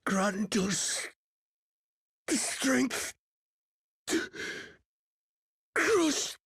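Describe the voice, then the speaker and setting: A woman playing a devout, desperate soldier in a fantasy game, solemn and halting, with a husky, creaky voice. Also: fairly high pitch, big pitch swings, a bit bright, no room sound, clean studio sound, heavily acted. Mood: desperate prayer. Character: devout, desperate soldier praying to a warrior god.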